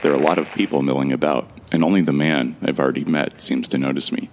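A man's voice: extremely low pitch, fairly even intonation, fast talker, a little hiss or hum. A man narrating a sci-fi audio drama in the first person, in a wry, joking and casual way. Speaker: narrator and protagonist.

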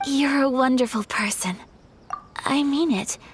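A woman playing a refined, gentle young noblewoman in a video game, speaking softly and formally. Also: sits high, measured pace, slight background noise. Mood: admiring, earnest. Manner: formal, soft, gracious. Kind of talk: video game character dialogue. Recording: background music under the voice.

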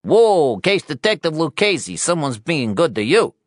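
A scruffy, pushy little newspaperman from an audiobook thriller, played by a male narrator. His voice jumps well above the narrator's low register and swings about. He needles and wheedles, recorded very dry. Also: sing-song, a little slow, no echo. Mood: needling. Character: pushy, short, scruffy male New York journalist.

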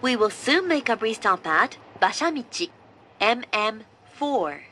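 A woman delivering a formal, scripted train announcement, measured and clearly enunciated. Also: fairly high pitch, big pitch swings, bright, forceful tone. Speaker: English-language train announcer.

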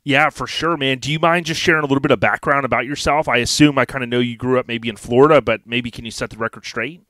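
A man hosting a casual podcast, talking in a relaxed, chatty, friendly way. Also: mid-range voice, quick pace, dry recording. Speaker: podcast host.

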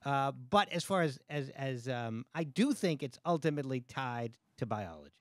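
Halting, lively-voiced comedian host. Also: male voice, mid-range voice, dry recording, clean studio sound. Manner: halting, many restarts, playful jabs. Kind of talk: casual panel discussion. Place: small studio room with a table. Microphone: studio mic, headphones.

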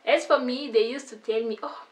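A woman's voice: on the high side, fairly even intonation, a little fast. A young woman who learned English after French schooling speaks with a French-influenced accent in casual talk to camera, upbeat and smiling, often turning to her viewers with "you know, guys.". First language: not English; schooled in French. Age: young adult. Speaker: YouTuber giving language tips. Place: indoors against a plain wall.

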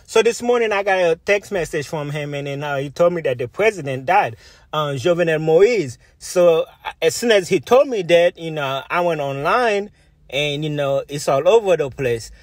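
A man's voice: a little high, expressive, normal speed, no echo. A man from Haiti, a video blogger giving rambling, informal commentary and often repeating himself. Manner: rambling, repetitive, filler-heavy.

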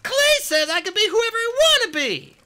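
A man's voice: very high-pitched, big pitch swings, normal speed, heavy background noise, voice pushed up. A man reading aloud and voicing a stubborn, anxious young female dragon who pleads and protests.

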